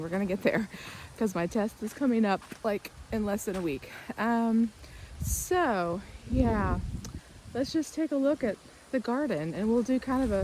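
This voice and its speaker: A woman, slightly raspy from a sore throat, chatting casually through a garden vlog while filming outdoors on her phone. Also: mid-range voice, background noise. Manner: chatty, rambling with many ums. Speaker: vlogger, gardening channel host. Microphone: phone held in hand.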